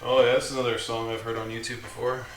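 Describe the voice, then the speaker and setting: Man with a low voice hosting a live workbench build, thinking aloud with halting restarts, heard from a distant condenser mic in a roomy space over music. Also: level tone. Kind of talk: casual live workshop commentary. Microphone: condenser mic set away from him. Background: music from a streaming app.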